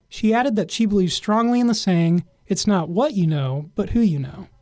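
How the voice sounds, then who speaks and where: A man reading a scripted news feature as the reporter, in a measured way. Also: high-pitched, a little mellow, no room sound, studio quality.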